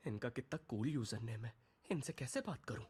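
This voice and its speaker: A man's voice: medium pitch, animated, moderate pace, darkish tone, breathy edge. A man voicing a cocky, mocking battle-game fighter, delivering theatrical taunting one-liners. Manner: theatrical, taunting, filmi punchlines.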